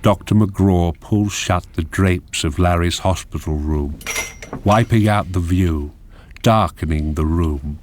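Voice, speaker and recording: A man narrating a radio drama, reading scripted scene descriptions in a measured, descriptive way. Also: low voice, background noise. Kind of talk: scripted screenplay narration in present tense.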